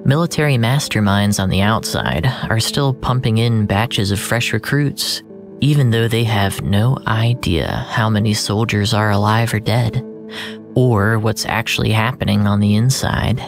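A man narrating first-person fiction in a deliberate, brooding, dramatic way, drawing out his phrases. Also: fairly low pitch. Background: music.

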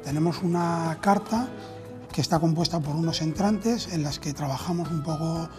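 A man's voice: a little high, moderate pace, music in the background. A man in his thirties with a Castilian Spanish accent, speaking conversationally in an interview as a restaurant owner, on a lapel mic. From Spain. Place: indoors in an empty restaurant dining room.

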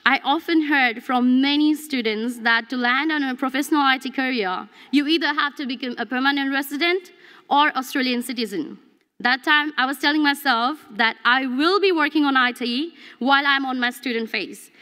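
Young woman, english not her first language, graduation speech at a lectern, high bright voice, big echoey hall. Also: normal speed. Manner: earnest, reads from notes with small restarts. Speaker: graduate student speaker. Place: large convention hall, at a lectern. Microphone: lectern mic. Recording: long hall reverb.

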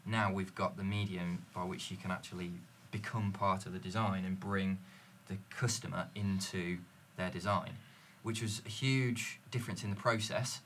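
A man's voice: deep voice, steady tone, moderate pace, few pauses, a bit bright, a little hiss or hum. A man in a panel discussion, explaining fluently and telling stories from his business, recorded in a dim studio lounge. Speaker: company representative.

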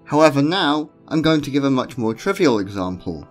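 British male narrator, mid-pitched, measured and argumentative, faint music bed. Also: big pitch swings. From Britain. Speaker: channel host. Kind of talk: scripted essay narration. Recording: voice-over with faint music underneath.

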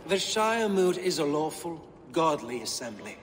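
Pompous Saxon bishop, reverberant. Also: male voice, fairly high pitch, very animated, slight background noise. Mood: formal, persuasive. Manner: formal, measured, pompous. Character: pompous, pious middle-aged Saxon bishop, smoothly political. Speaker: actor in a video game cutscene. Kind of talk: video game drama acting.

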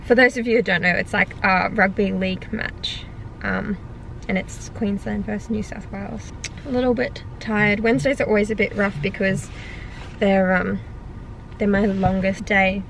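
A woman's voice: medium pitch, gravelly, slight background noise, low-quality recording. A young Australian woman in her twenties, a personal trainer chatting casually to a camera on the dashboard of her parked car, rambling and amused at herself. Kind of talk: casual vlog chat to camera.

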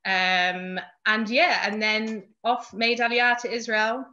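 Chatty Mancunian woman, bright voice. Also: fairly high pitch, steady tone, slow. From Manchester, England. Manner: chatty, frequent "um" fillers. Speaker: guest, youth movement emissary. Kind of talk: casual podcast chat. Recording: dull lo-fi audio.

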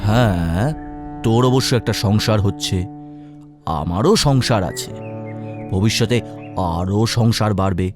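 A man's voice: on the low side, unhurried, pauses often, music in the background, close to an everyday voice. A man voicing a bossy, greedy elder brother in a cartoon, speaking curtly. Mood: stern, bossy. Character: greedy domineering elder brother, a farmer's son.

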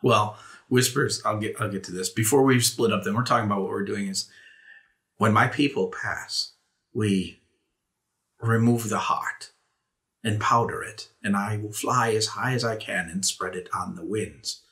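Bright-voiced man playing a tabletop game. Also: on the low side, steady tone, normal speed. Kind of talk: casual in-game discussion.

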